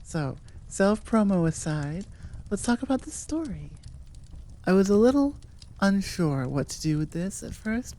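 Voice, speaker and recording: A woman's voice: lowish voice, warm tone. A woman chatting casually to her listeners as an audio creator, in an informal, rambling and self-amused way. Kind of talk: casual outro chat to listeners.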